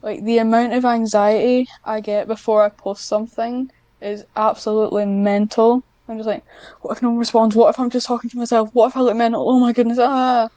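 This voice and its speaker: A woman's voice: on the high side, flat delivery, brisk, warm tone, no room sound. A woman chatting casually as a podcast guest, talking in a hesitant, self-deprecating way. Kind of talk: casual one-on-one interview chat.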